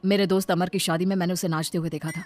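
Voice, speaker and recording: A woman's voice: lowish voice, flat delivery, very fast, a little mellow, natural voice. A woman voicing an earnest, lovestruck young man in a storybook tale, pleading and insistent. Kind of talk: storybook character dialogue. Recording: background music under the voice.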